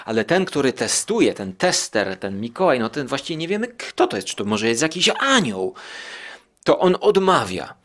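A man hosting a podcast, giving a casual, rambling review and thinking aloud with drawn-out hesitations. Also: medium pitch, very animated, a bit bright. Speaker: podcast host. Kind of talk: casual monologue review of radio plays.

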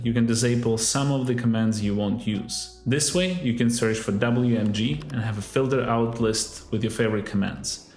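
A man, a co-founder, presenting a scripted software tip to camera in a friendly, even way. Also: medium pitch, reverberant.

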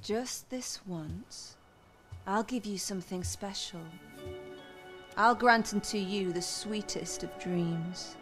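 An actress playing a composed, seductive and vengeful noblewoman in a fantasy game, speaking archaic lines in a deliberate, theatrical way. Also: medium pitch, music in the background, natural voice. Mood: tender, sultry. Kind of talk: cinematic fantasy game acting, archaic speech.

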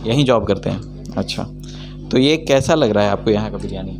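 A man's voice: on the low side, fairly quick. A young man from Bihar hosting a casual food vlog, interviewing diners in a small restaurant with a handheld mic. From Bihar, India. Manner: chatty, asks short friendly questions. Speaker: food vlogger. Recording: music playing behind.

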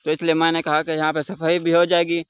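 A man's voice: fairly high pitch, monotone, fast, a bit bright, clean tone. Young man vlogging outdoors in a village. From Pakistan. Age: young adult. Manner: chatty, repeats stock vlog phrases, calls out instructions while working. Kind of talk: casual vlog narration to camera. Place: outdoors in a sunny farm village yard. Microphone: handheld camera at arm's length.

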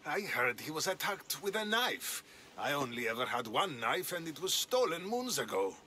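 Sharp, scornful bull-headed villager. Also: male voice, fairly high pitch, very animated, normal speed. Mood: defensive. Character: scornful bull-headed male Greek neighbour, blustering and mocking. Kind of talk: video game voice acting. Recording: faint ambient noise.

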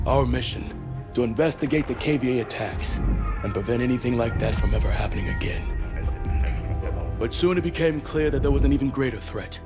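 A man's voice: medium pitch, close to an everyday voice. Man playing a grave American military commander, music behind. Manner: deliberate, terse, serious. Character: grave, resolute male special-operations commander. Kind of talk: dramatic briefing in a game cinematic.

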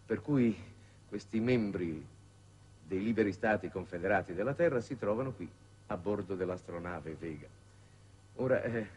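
An actor playing a grave, authoritative foreign minister with formal, measured oratory in a 1960s Italian television drama. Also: male voice, on the low side, a little mellow, breathy edge. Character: grave, authoritative foreign minister chairing a space war council. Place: television studio set. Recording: dated 1960s television soundtrack.

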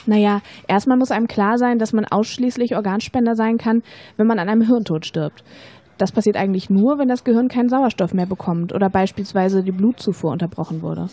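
A woman plays a young female student in an educational radio play. Her voice is mellow and mid-pitched. She explains patiently and persuasively, in measured, scripted sentences. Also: lightly acted. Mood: matter-of-fact, explanatory. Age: young adult.

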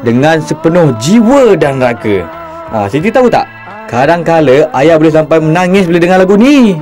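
Cartoon father, fairly high voice, earnest, sentimental, Singaporean Malay accent, faint music. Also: very animated, normal speed, darkish tone. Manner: earnest, explanatory. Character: earnest, sentimental father who lectures his children. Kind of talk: cartoon dialogue.

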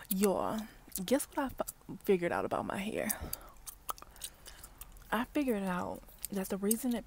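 A woman, chatting casually in a parked car for an ASMR video, often dropping to soft whispers, recorded on a wired earbud mic. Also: mid-range voice. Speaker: ASMR vlogger. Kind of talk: ASMR gum-chewing car chat. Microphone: wired earbud mic held near mouth. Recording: faint background noise, mouth clicks.

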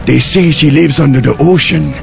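An actor playing an earnest troll warrior in a fantasy game, gravelly. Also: male voice, fairly high pitch, moderate pace. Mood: wary, explaining. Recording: voice over game music.

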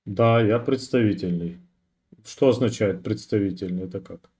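A man's voice: lowish voice, moderate pace, mellow. A man hosting a chat roulette stream on a headset, asking short, informal questions in casual chat. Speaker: streamer host.

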